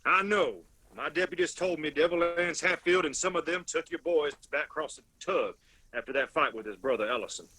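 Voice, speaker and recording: An actor playing a weary, pragmatic middle-aged county sheriff with an Appalachian accent in a radio drama. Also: male voice, fairly high pitch, brisk, sharp tone, slightly rough, poor audio. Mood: guarded.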